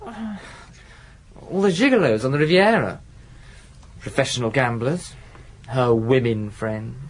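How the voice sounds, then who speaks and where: A man's voice: mid-range voice, expressive, unhurried. An actor playing a sullen, evasive man in his late twenties, a defensive gigolo and addict in a radio drama. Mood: evasive, bitter.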